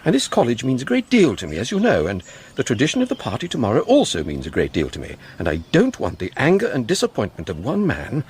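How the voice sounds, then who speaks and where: Brisk, very animated, earnest college head. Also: male voice, mid-range voice, slight background noise. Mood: worried, earnest. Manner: formal, earnest. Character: anxious, dignified college head, man. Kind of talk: radio play acting.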